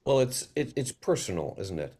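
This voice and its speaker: A man on a discussion panel, speaking reflectively and haltingly as he searches for words. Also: medium pitch, sing-song, dark tone, breathy edge.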